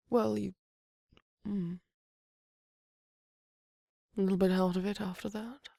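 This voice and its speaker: A woman voicing a flirty, gently protective vampire lover in an intimate ASMR romance roleplay, with a husky, breathy, often whispered voice and tender, coaxing manner. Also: medium pitch, no echo, clean studio sound. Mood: gently teasing. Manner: tender, unhurried, coaxing endearments.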